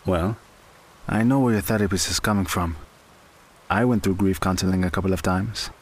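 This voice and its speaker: A man acting a gentle, patient friend in an audio drama, consoling in a measured, coaxing way. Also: low-pitched. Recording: clear background hiss.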